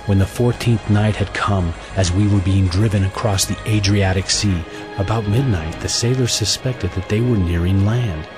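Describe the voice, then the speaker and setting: A man narrating a scripture audio drama in a measured, solemn storytelling manner, reading from a script. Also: fairly low pitch. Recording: music bed under the voice.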